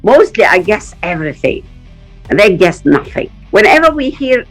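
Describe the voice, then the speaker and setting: A middle-aged woman from Palestine telling stories in an interview, animated and often laughing. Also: medium pitch, moderate pace, soft background music. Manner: animated, acts out quoted voices, laughs often. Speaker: interview guest. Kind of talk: casual storytelling in an interview.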